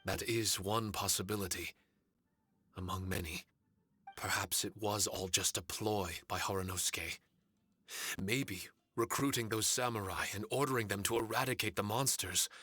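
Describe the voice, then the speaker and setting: A man playing a solemn, courteous male spirit servant in an anime game, speaking formally and earnestly in a measured way. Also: fairly low pitch, bright, forceful tone, slightly rough, no room sound. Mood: reflective. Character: solemn, courteous male shikigami spirit warrior with fragmented memories. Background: faint music.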